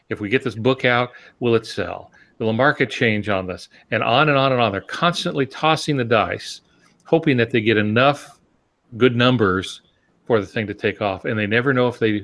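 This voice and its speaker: A man's voice: mid-range voice, normal speed, a bit bright. A man in a casual discussion, explaining step by step and posing rhetorical questions as he goes. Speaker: podcast panelist.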